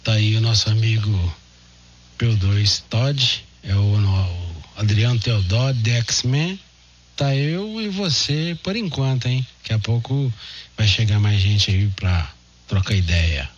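A man's voice: on the low side, expressive, takes it slowly. A Brazilian man chatting casually as an amateur radio operator. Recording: dull narrowband radio audio with hum, noise.

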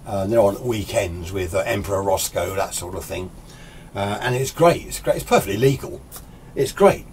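British guy in his 60s, lowish voice, rambling opinionated hobby explainer, some noise in the background. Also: lively intonation, moderate pace, a little mellow. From United Kingdom. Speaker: amateur radio hobbyist presenting a tutorial. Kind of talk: informal off-the-cuff explainer. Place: small indoor radio workshop.

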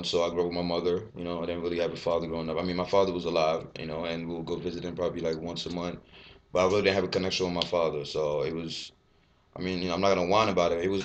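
Deep-voiced fast-talking young man vlogging. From Ivory Coast. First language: French. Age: 20s. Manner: candid, rambling, swears freely, gestures a lot. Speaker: fitness vlogger. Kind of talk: casual personal vlog to camera. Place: small bare indoor room. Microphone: webcam at desk.

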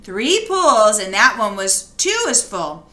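A woman reading a children's storybook aloud with expressive, dramatic storytelling. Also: medium pitch, takes it slowly, reverberant. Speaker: children's book read-aloud reader.

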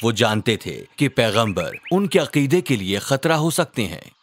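A man narrating a scripted children's religious story in a measured, dramatic storyteller delivery. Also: medium pitch, sing-song, a little hiss or hum.

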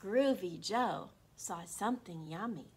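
A woman in her sixties with a slightly froggy throat, hosting an interactive read-aloud for young children in an animated, encouraging way. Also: mid-range voice, measured pace, breathy edge. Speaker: children's storytime host at a library. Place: small indoor room. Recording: constant room noise.

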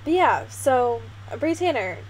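A young American woman co-hosting a podcast, chatting casually in an excitable, slangy, expressive way. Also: sits high, slow pace, crowd noise, poor audio. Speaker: podcast co-host. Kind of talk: casual chat.

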